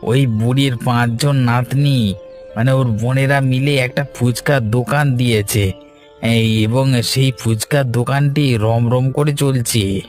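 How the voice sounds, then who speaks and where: A man's voice: medium pitch, fairly even intonation, takes it slowly, a little mellow, faint music behind, lightly acted. A man playing a scheming, insinuating henchman to a crooked village boss in a cartoon. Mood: sly, reporting. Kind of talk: cartoon voice acting.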